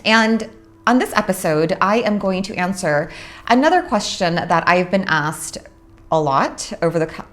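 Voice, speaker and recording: A young adult woman from Orange County, California, hosting a casual monologue about her spiritual work, unhurried and reflective with frequent pauses. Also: fairly low pitch, animated. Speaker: host, spiritual practitioner.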